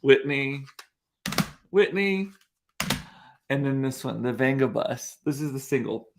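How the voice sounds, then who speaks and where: Forties male host, stuffy and sniffly, loose chatty delivery, mid-pitched voice. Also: expressive, slow, noisy background. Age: 40s. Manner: chatty, rambling storyteller. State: congested, sniffly. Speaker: livestream host. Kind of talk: casual solo livestream chat. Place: room at home.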